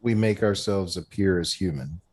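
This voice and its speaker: Man, lowish pitch, casual table talk, game player, faint noise behind. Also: moderate pace, darkish tone.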